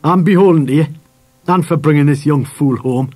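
A storybook father, gruff and full of scorn, acted out by a male narrator. His pitch rides high and swings widely as he scolds. The sound is close and dry. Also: animated, brisk, a little mellow, no room sound. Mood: grudging. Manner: gruff, scolding, colloquial swearing. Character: angry, scarred working-class father, blustering and scornful.

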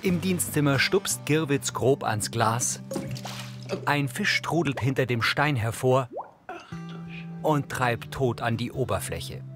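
A man's voice: mid-range voice, expressive, takes it slowly. Male audio-description narrator over music. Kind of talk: scripted descriptive narration of a TV drama.

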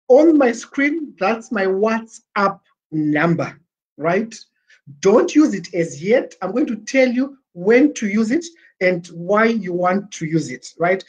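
A Zimbabwean man in his forties talking on a webcam livestream, animated and gesturing, slipping Shona words into his English. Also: sits high, husky. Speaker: livestream presenter. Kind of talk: casual livestream pitch. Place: small plain room indoors. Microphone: webcam at desk.

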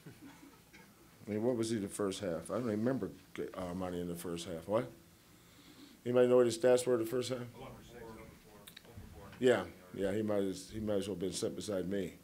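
A man's voice: lowish voice, normal speed, long pauses, dark tone. A man, a head basketball coach, answering questions at a postgame press conference in a folksy, rambling way, full of homespun analogies and teasing.

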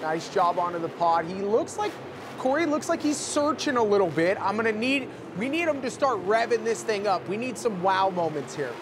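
A man doing excitable color commentary at a motorsport show, urging the drivers on. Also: sits high, moderate pace, background noise. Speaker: sports commentator. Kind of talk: arena sports commentary.

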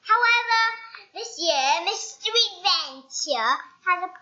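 Young child storybook reader, bright voice, slow and halting delivery, dull lo-fi recording. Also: extremely high pitch, animated. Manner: halting, improvised retelling with stumbles. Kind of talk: read-aloud children's storytime.

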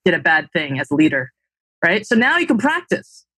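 Husky woman with a New Jersey accent. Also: on the low side, very animated, fairly quick, fairly bright tone, dry recording. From New Jersey, USA. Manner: confident, explains with many tag questions. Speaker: podcast guest, tech founder. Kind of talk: casual interview chat. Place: indoor room at home. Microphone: video call.

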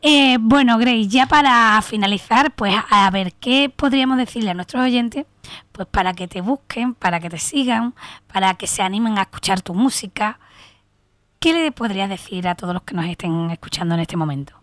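A woman's voice: mid-range voice, moderate pace, fairly bright tone, no room sound. A woman radio host, chatting with a guest and often tagging her lines with "¿no?". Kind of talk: casual radio interview. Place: radio studio.

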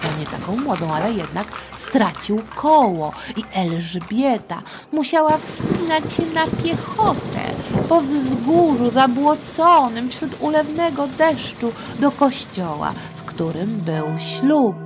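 A middle-aged woman hosting a children's history podcast, telling a story in a playful, colloquial, expressive way. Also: sits high, slow pace, hardly any pauses, low-quality recording. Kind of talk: informal scripted storytelling for children.

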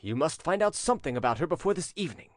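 A man's voice: a little high, sing-song, racing, dry recording, clean studio sound, pitched above the performer's own voice. A man voicing a haughty, menacing prince in an audiobook, with an imperious, ironic and commanding manner. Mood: imperious, angry. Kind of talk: audiobook character acting.

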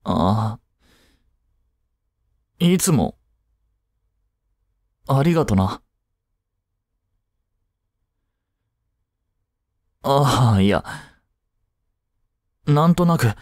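A man playing an aloof young boyfriend in an intimate voice drama, grumpy but soft-hearted, speaking haltingly close to the mic. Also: mid-range voice, expressive, dry recording. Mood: shy, tender. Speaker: amateur voice drama creator and actor.

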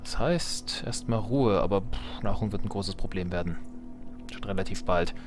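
A man's voice: fairly low pitch, normal speed, music in the background. A man doing casual gameplay commentary, thinking aloud and muttering playful, wry asides to himself. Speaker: let's player.

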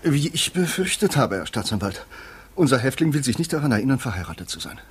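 An actor playing a brisk, formal defence lawyer in a 1950s radio play, officious and deferential. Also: male voice, mid-range voice, big pitch swings, breathy edge. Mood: officious, concerned. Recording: noisy 1950s radio recording.

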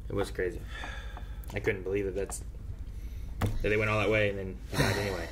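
A man in his thirties, co-host of a movie reaction show, talking quickly and eagerly with lots of "like". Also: lowish voice, flat delivery, noisy background. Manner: rapid, enthusiastic, full of "like". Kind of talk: casual chat. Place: studio room with shelves and swords.

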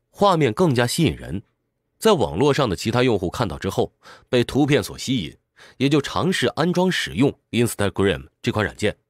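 A man's voice: medium pitch, no echo, clean studio sound. A man giving a scripted explanatory narration in a didactic way, speaking directly to the listener. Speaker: audiobook summary narrator.

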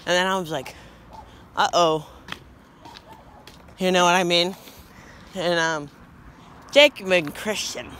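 A teenage boy vlogging on a walk down a quiet street, joking and rambling into a phone held at arm's length. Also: high voice, a little hiss or hum. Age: teens. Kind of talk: goofy walking vlog.

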